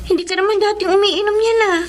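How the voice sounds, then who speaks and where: A schoolgirl younger sister in a Filipino radio drama. The voice is high, sweet and plaintive, always a little fretful. The recording is clearly noisy. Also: steady tone, fast talker. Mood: worried, pleading.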